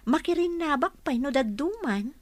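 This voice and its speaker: A woman's voice: high-pitched, expressive, normal speed, darkish tone, dry recording. A woman playing a modest, teasing young secretary in a radio drama, with an Ilocano accent.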